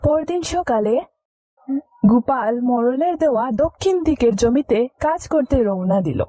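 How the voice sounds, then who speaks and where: Female storyteller, high voice, dry close sound, scripted children's tale. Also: animated, a little slow, mellow, no room sound. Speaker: storyteller narrator.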